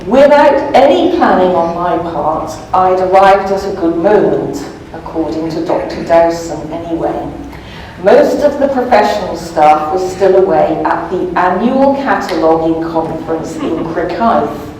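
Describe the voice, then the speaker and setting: A woman reads comic first-person fiction aloud with dry, deliberate timing. She takes her time over each phrase. The sound is distant and heavily echoing, with clear background noise. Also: fairly low pitch, lively intonation, a little mellow. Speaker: author reading from own novel. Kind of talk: live storybook reading, comic first-person narration. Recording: very echoey, distant mic, noisy room.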